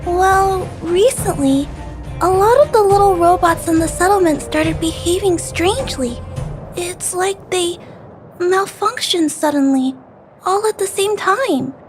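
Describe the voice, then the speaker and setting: A small girl from an anime-style video game, with a very high, childlike voice. She sounds sweet, polite and a little timid, speaking gently over soft background music. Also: a little slow. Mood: worried, polite.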